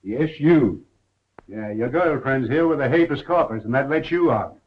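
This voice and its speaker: A man's voice: mid-range voice, lively intonation, normal speed, natural voice. A man playing a bossy, brusque jail guard with a sardonic edge, in 1930s film style. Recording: dull, boxy 1930s film soundtrack.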